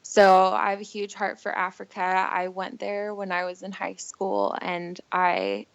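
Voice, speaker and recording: A woman's voice: mid-range voice, unhurried, creaky, smooth, dry recording, lo-fi sound. A young American woman telling her personal story earnestly and from the heart as a podcast guest. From Costa Rica and Chile until six, then the US. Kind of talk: personal storytelling, casual conversation.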